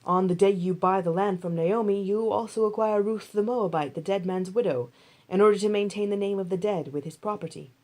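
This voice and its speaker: A woman's voice: mid-range voice, brisk, darkish tone, natural voice. Woman voicing an earnest, decisive biblical landowner, formal. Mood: businesslike. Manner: formal, declarative.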